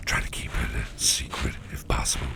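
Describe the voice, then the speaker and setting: A man playing a mischievous businessman boss in an intimate ASMR roleplay, murmuring in a halting, gravelly half-whisper. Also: extremely low pitch, level tone, full character voice. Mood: confiding, covert. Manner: slow, halting, repeating phrases, softly chuckling. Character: mischievous, toothy businessman boss, affectionate and covert.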